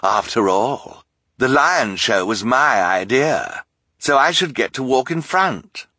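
A man's voice: medium pitch, lively intonation, slow, bright, forceful tone, husky, no room sound, clean studio sound, voice pushed up, heavily acted. A man voicing a vain, scheming villain who speaks pompously and proudly.

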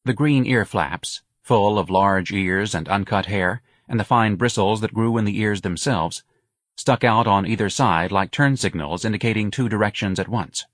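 A man narrating a literary audiobook in a measured, articulate and expressive manner. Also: lowish voice, no echo, studio quality.

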